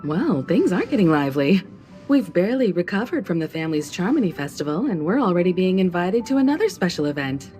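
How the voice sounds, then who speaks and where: A woman voicing a poised, mature crew leader who speaks calmly and with gentle authority in a game cutscene. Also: lowish voice, brisk. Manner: calm, composed, gently authoritative. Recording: game cutscene with faint music.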